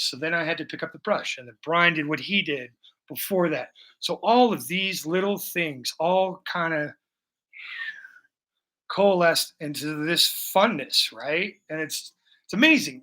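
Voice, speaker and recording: Man, 40s, live-stream host: rambling, profane, joking, with a sharp, bright tone. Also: fairly high pitch. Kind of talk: casual live-stream chat.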